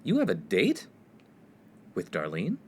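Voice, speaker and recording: Man playing an exasperated, wildly animated college freshman. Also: low-pitched, unhurried, slightly rough, heavily acted. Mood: incredulous. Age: young adult. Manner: indignant, sarcastic, precise. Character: exasperated, earnest, bookish young college freshman. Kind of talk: comedic 1950s-set radio play acting. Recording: faint background noise.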